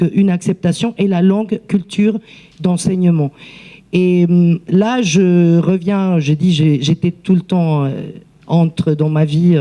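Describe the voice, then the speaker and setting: A woman speaks off the cuff in a seminar discussion, in a language that is not her mother tongue. Her voice is very mellow and dark. She proceeds haltingly, circling back and repeating words as she searches for the next one. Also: fairly low pitch. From outside France, immigrant. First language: not French. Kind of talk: spontaneous remarks in a seminar debate.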